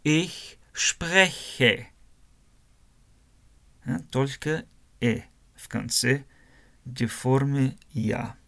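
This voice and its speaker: A man from Germany, teaching a language lesson slowly, spelling words out letter by letter and repeating phrases. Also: mid-range voice, vocal fry, fairly bright tone.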